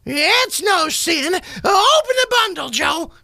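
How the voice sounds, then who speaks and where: Man as coarse old charwoman, radio play, gloating, piercing bright tone, gravelly creak, close dry sound. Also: very animated, slow pace, dry recording, exaggerated voice. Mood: scornful, greedy. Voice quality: shrill, cackling, creaky. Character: brazen cackling old charwoman, greedy and shameless. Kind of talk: radio theater acting.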